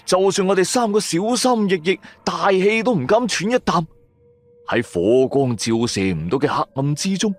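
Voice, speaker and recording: A male storyteller delivers a supernatural radio serial. His intonation rises and falls dramatically, with suspenseful, emphatic stresses. Soft music plays underneath. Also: mid-range voice. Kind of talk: dramatic first-person horror storytelling.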